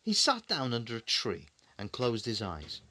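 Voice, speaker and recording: A man's voice: mid-range voice, huge pitch swings, moderate pace, sharp tone, slight background noise. A man reading a bedtime story to his young child in a conversational way, with questioning asides and dramatic repetition.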